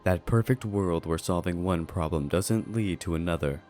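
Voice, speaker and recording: A man narrates a scripted review in a fairly low voice. His delivery is measured and deliberate, like an analytical essay read aloud. Music plays faintly behind him. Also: a little mellow. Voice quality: low-pitched voice. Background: background music.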